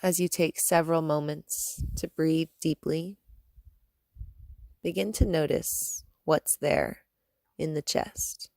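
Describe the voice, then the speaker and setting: Female meditation guide: calm and gentle, very unhurried, lowish pitch, long pauses between instructions. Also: vocal fry, dry recording. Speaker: yoga and meditation teacher.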